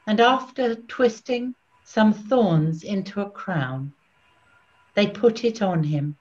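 An older woman gives a solemn scripture narration in short measured phrases. The pace is slow and the pitch ordinary, recorded a little dully over a home video call. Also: darkish tone. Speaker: narrator, Evangelist. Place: small room at home. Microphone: computer video call. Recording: dull lo-fi video-call audio.

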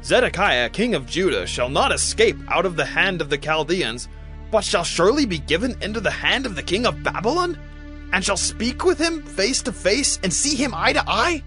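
An indignant ancient king in an audio scripture drama. His voice is high-pitched and piercing, declaiming accusations at a prophet, with music underneath. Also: sing-song, normal speed, sharp tone, heavily acted. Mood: indignant, accusing. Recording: music behind the voice.

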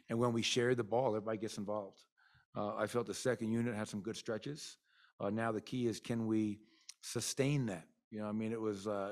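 A middle-aged man, a basketball coach, talking candidly at a postgame press conference into a desk mic, rambling with many fillers. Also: lowish voice. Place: arena press room. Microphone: desk mic in front.

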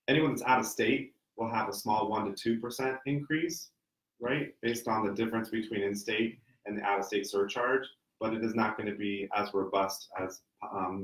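A man from Ohio with a lowish voice lays out facts in a steady, explanatory way. He speaks at a table in a meeting room that echoes around him. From Cincinnati, Ohio. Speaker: admissions counselor. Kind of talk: informational panel talk. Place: meeting room with branded backdrop.

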